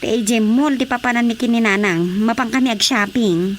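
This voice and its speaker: Lady playing excitable proud ilocano wife, high voice, chatty, radio drama, bit of noise behind. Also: a little fast, lightly acted. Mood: cheerful, chatty. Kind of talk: radio drama comedy acting.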